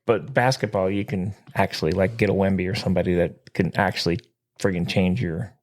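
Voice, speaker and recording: A man co-hosting a podcast, chatting excitedly about sports and swearing freely. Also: fairly quick. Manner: excitable, swears, lively swings in pitch. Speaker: podcast co-host. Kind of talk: casual sports chat.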